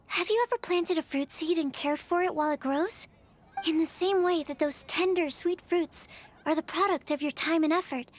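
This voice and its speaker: A woman playing a shy, earnest young alchemist in a video game, with polite, precise, scholarly phrasing. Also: very high-pitched. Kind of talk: video game character voice acting. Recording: background music under the voice.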